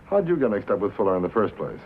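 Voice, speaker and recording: An American man acting as an earnest, probing radio reporter in a 1950s film drama, measured and plain-spoken. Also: lowish voice, big pitch swings. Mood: probing, curious. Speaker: actor in a feature film. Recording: muffled vintage film soundtrack, faint noise.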